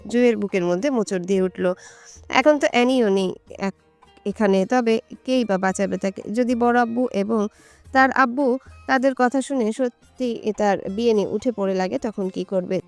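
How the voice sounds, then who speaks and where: A woman narrates a scripted romance. Her voice is smooth and medium-pitched, recorded dry and close. Faint music plays behind her. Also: normal speed, darkish tone, no room sound. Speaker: storyteller.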